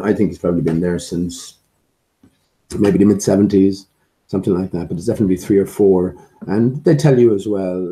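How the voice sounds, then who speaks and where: A man with a low, very dark and relaxed voice that sounds a little hoarse. He hosts a casual livestream, speaking in a musing, hesitant ramble. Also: low-quality recording. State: slightly hoarse, occasional coughing. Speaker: livestream host and amateur musician.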